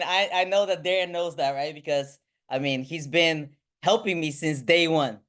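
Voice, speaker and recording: A woman in her thirties from Bulgaria leads a motivational online training as a sales team trainer, talking excitably and often checking in with short questions. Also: medium pitch. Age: 30s. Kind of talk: motivational online training talk to a live group.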